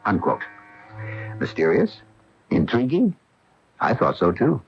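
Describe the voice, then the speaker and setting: An actor playing an intrigued mystery narrator, dramatic and deliberate, introducing a radio drama. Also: male voice, on the low side, huge pitch swings, gravelly, a little hiss or hum, exaggerated voice. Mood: intrigued, ominous. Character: brooding, intrigued mystery narrator reading a news clipping. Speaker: narrator and host. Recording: dull lo-fi 1980 radio broadcast.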